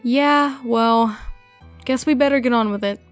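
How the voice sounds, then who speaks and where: A woman's voice: a little high, unhurried, close to an everyday voice. A woman playing a wry, sceptical monster hunter in an audio story, with a dry, casual, sarcastic manner. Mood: reluctant, dry. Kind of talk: audio story character acting. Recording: music clearly behind the voice.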